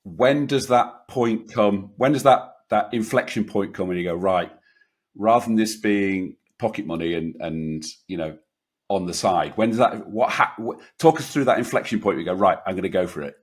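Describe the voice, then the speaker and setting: A man's voice: lowish voice, moderate pace, husky. A man hosting a business podcast, stumbling and restarting as he asks his questions. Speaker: podcast host. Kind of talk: podcast interview.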